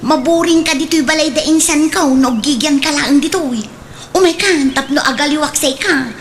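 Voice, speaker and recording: A woman's voice: high-pitched, normal speed, a little hiss or hum, natural voice. A woman playing a bossy, coaxing female relative in a comedic radio drama, with a Filipino accent.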